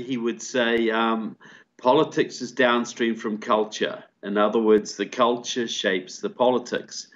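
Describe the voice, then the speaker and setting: An older man with a New Zealand accent, explaining at length as a guest on a video call from a room at home. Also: lowish voice. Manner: steady explanatory monologue. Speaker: guest, author and filmmaker. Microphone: video call with earbud mic. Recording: dull lo-fi call audio.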